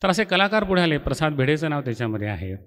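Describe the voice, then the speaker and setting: A man's voice: on the high side, big pitch swings, very fast, fairly bright tone. A middle-aged man gives a ceremonial speech as a college teacher, reminiscing and explaining in an oratorical way. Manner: explanatory, poses rhetorical questions and answers them. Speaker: college faculty member giving an inaugural speech. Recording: long hall reverb.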